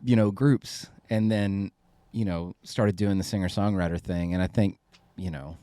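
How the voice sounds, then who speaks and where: A man co-hosting a podcast, speaking in a relaxed, thoughtful way. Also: deep voice, normal speed, no echo. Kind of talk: casual conversation.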